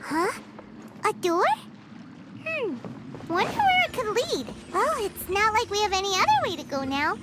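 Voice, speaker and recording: A woman voicing a tiny, excitable childlike fairy companion in a video game, with a squeaky voice. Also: measured pace, heavy background noise, exaggerated voice. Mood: curious. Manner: excitable, refers to herself in third person. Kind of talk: English video game voice acting.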